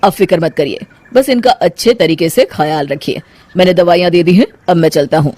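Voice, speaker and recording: A woman voicing a glib male sham doctor in a cartoon story, speaking in a measured, placating way. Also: fairly low pitch, a little mellow, no room sound, close to an everyday voice. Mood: reassuring. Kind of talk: Hindi cartoon moral story acting.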